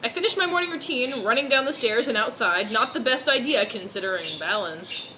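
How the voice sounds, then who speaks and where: A woman's voice: on the high side, animated, fairly quick, noisy background. A woman doing a mock-serious dramatic reading of fan fiction, dropping in amused asides.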